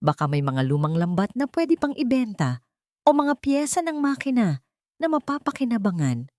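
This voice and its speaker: A woman's voice: fairly high pitch, very animated, normal speed, a little mellow, no echo, clean studio sound. A woman with a Filipino accent reading a scripted dramatic audiobook story as narrator.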